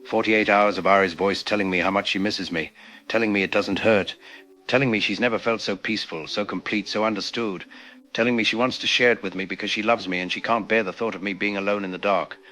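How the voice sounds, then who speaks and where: A man playing a grieving scientist recording a desperate, halting confessional log in an audio drama. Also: fairly low pitch, fairly even intonation, pushed and bright. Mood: haunted, grieving. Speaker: doctor and researcher.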